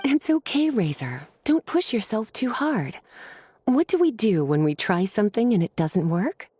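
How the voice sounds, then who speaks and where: A woman voicing a kind mature teacher who speaks softly and patiently. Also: medium pitch, big pitch swings, moderate pace, husky. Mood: caring. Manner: soft, patient, caring. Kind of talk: game voice acting.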